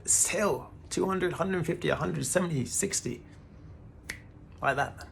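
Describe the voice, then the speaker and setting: A man's voice: fairly high pitch, moderate pace, vocal fry, slight background noise. A man in his thirties giving a casual, laid-back spiritual talk to the camera, often calling the listener "man" and swearing now and then. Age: 30s. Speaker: spiritual vlogger.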